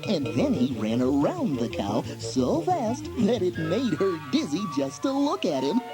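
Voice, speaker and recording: A man reads a children's story at an unhurried pace. His narration is expressive and dramatic, rising and falling widely. Music plays clearly behind him. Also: high-pitched.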